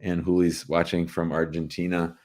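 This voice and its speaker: A man's voice: low voice, flat delivery, normal speed, a little mellow. A man with an American accent hosting a live call-in show, chatting casually with callers and viewers.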